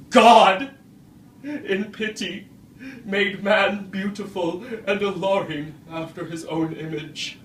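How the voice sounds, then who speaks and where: Wretched male creature, played by a man: anguished stage oratory, slightly high-pitched, deliberate and archaic, echoing room. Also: fairly bright tone. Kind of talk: dramatic stage monologue. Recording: roomy, distant microphone, faint noise.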